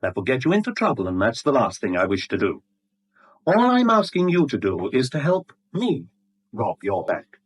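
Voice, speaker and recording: An actor playing a smug middle-aged blackmailer in a 1970s radio drama, suave, wordy and mockingly courteous. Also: male voice, medium pitch, wildly swooping pitch, normal speed, breathy edge. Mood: silkily threatening. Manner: suave, wordy, mock-polite, teasing.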